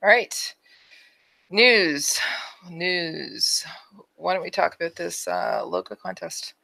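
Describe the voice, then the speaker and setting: A woman's voice: lowish voice, big pitch swings, slow, creaky, sharp tone, no room sound. A woman with a Canadian accent co-hosting a podcast, chatting casually and reading out announcements. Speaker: podcast co-host.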